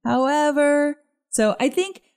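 A woman hosting a casual solo podcast, chatty and upbeat, her voice a little gruff this morning. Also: sits high, lively intonation, slow pace, a little mellow. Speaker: podcast host.

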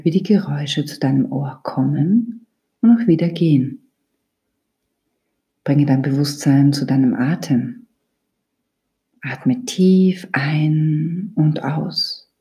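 A woman leading a guided yoga nidra meditation as an instructor, calm and soothing, with a soft, breathy, half-whispered delivery. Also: low voice.

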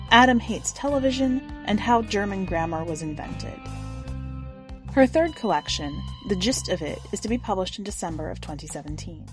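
A woman hosting a fiction podcast, introducing and then reading a short story in a measured, clear storytelling delivery. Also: mid-range voice. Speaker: podcast host and story reader.

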